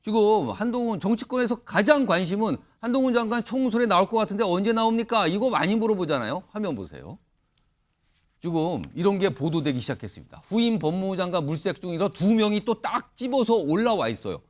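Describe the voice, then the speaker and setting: A man hosting a news panel show in a lively, conversational anchoring style. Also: high-pitched, brisk.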